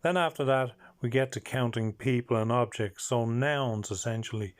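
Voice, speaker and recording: A man's voice: mid-range voice, moderate pace. A man with an Irish accent hosts a language-learning video, carefully explaining and repeating each number word.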